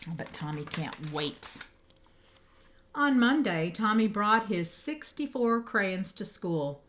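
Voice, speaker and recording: A woman reading a children's picture book aloud, with expressive phrasing and emphasized words. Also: mid-range voice, slow, slight background noise. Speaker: storybook reader.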